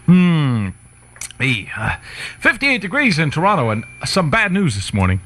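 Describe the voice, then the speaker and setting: A man's voice: on the high side, wildly swooping pitch, a little slow, slight background noise. A man working as a morning radio disc jockey, with playful, teasing, hearty 1960s top-40 patter. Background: loud music.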